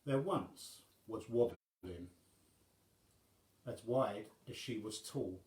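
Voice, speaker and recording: A man reading a rhyming children's story aloud as a storyteller, in measured phrases with dramatic pauses. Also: fairly low pitch, a little mellow, echoey room.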